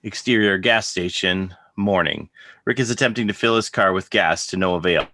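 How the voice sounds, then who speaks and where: A man narrates a script's scene directions. His voice is very low and crisp-edged, recorded dry and close. He reads in measured, declarative sentences. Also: bright, forceful tone, no echo.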